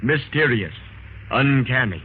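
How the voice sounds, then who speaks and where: A man's voice: medium pitch, expressive, measured pace, natural voice. A man playing a grave, formal announcer who introduces an adventurers' club in a 1930s radio drama style. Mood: solemn, mysterious. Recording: scratchy, phone-like old radio recording, noisy.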